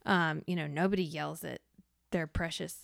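A woman's voice: deep voice, fairly even intonation, moderate pace, creaky, no echo, studio quality. A woman telling personal anecdotes in casual conversation as a podcast co-host, acting out the remarks she quotes.

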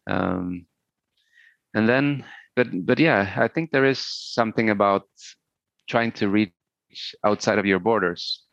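Middle aged swedish guy, native swedish, low creaky voice, halting musician interview chat, kinda dull audio. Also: fairly bright tone, no room sound. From Sweden. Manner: hesitant, halting, thoughtful, searching for words. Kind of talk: casual interview conversation.

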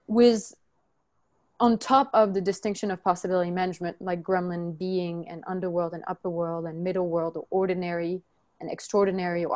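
Woman, from France, workshop facilitator, halting, frequent restarts, explaining slowly. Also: mid-range voice, darkish tone. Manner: halting, many restarts and self-corrections. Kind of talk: teaching a group, reading from notes.

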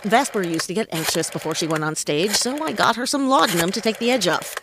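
A woman acts out a sardonic female private eye from a comedic noir audio drama. Her slangy wisecracks swing up and down with lively pitch. Clear noise sits behind her. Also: close to an everyday voice. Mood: wry, casual. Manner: slangy, sardonic, quick with quips. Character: wisecracking, cocky female hardboiled detective in a period noir.

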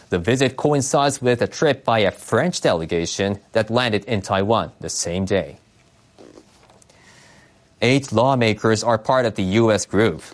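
A man reading scripted news reports as a newsreader, in a formal, measured way. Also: fairly low pitch.

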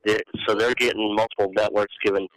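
Bright, forceful, fast-talking man. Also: fairly low pitch, little pitch movement, low-quality recording. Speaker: radio talk show panelist. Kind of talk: casual sports chat.

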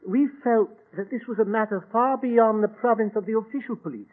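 Formal egyptian government minister, high voice, measured and cautious, tinny old radio. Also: male voice. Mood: grave, courteous. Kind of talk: 1940s radio acting. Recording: tinny 1940s radio recording.

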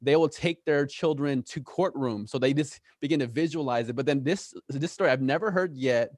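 A man's voice: mid-range voice, moderate pace, dry recording. Enthusiastic male podcast host, dry sound. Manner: enthusiastic, repeats words when excited. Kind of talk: podcast interview.

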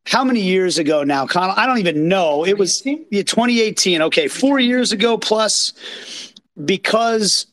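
A hoarse man from Kansas City hosting a live post-match reaction, talking in an excited, rambling, self-deprecating way from a green room backstage. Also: sits high, normal speed. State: hoarse from yelling. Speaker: podcast host. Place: green room behind an outdoor stage. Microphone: phone.

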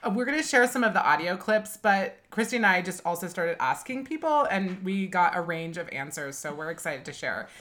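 A woman co-hosting a podcast in casual chat, playful and quick to laugh. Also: fairly low pitch, animated, a bit bright. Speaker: podcast co-host.

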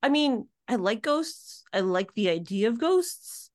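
A woman's voice: a little high, big pitch swings, unhurried. A woman hosting a podcast in casual chat, reacting playfully with hums and short exclamations. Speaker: podcast host.

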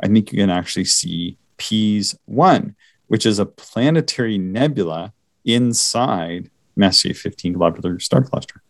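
Low-voiced man chatting about stargazing. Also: expressive, unhurried, no room sound. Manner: rambling, hesitant, many fillers and restarts. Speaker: podcast co-host. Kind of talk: casual astronomy chat.

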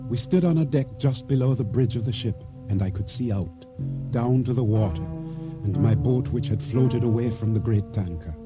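A man acting a regretful island boatman in a radio drama, narrating and speaking in a solemn, measured way. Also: mid-range voice, close to an everyday voice. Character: regretful male island boatman recounting his downfall. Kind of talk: first-person narration and dialogue in radio drama.

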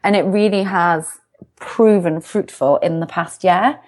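A woman's voice: lowish voice, unhurried, darkish tone. A young woman from the UK, a success coach, talking as an interview guest in an upbeat, reflective way. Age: young adult. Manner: bubbly, upbeat, self-reflective. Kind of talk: casual interview chat.

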